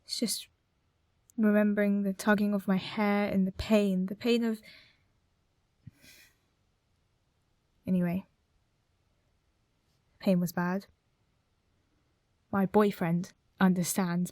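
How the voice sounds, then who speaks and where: An actress playing a hurt, nervous young woman who speaks haltingly in a confessional radio drama monologue. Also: mid-range voice, no echo, studio quality, close to an everyday voice. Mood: pained. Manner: hesitant, halting.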